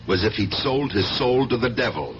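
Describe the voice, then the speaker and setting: An actor playing a driven, distrustful police sergeant held as a mental patient, argumentative and sarcastic, in 1970s radio drama style. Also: male voice, medium pitch, expressive, moderate pace, slight background noise, close to an everyday voice. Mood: pressing, accusing. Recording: muffled humming 1970s radio recording.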